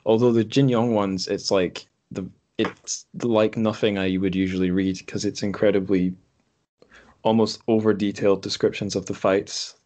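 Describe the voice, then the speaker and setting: A man's voice: lowish voice, flat delivery, moderate pace, darkish tone. A man hosting a podcast in casual, unscripted chat, hesitant and correcting himself as he thinks aloud. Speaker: podcast host. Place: room in a home.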